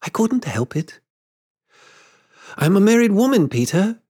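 A man voicing a gentle, guilty grown woman who speaks in a faltering, apologetic way, in a storybook reading. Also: high voice, big pitch swings, measured pace, slightly rough, pitched above the performer's own voice, full character voice. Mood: sad, confessing. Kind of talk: storybook character voice.

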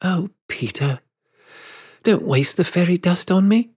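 A man playing a timid, high-pitched grown woman. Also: lively intonation, a little slow, darkish tone, husky, exaggerated voice. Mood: faltering, apologetic. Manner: faint, faltering, apologetic. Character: gentle, guilty grown woman, timid and apologetic. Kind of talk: storybook character voice.

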